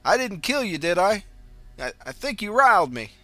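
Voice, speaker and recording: A bewildered, frantic landlord ghost, as a man plays him raises his voice high and loud, pushing out anxious questions. The tone is bright and tense, with faint music beneath the storybook reading. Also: sing-song, normal speed, no room sound, heavily acted. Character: greedy middle-aged male landlord ghost, bewildered and frantic.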